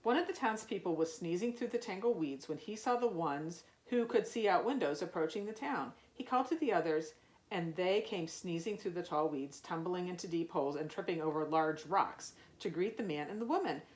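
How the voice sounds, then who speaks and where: A woman reads a children's story with a lively, swooping intonation. Her voice sits a little low for a woman, and she speaks in a musing, wondering way meant for children. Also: brisk, low-quality recording. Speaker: youth ministry storyteller. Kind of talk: reading a children's picture book aloud.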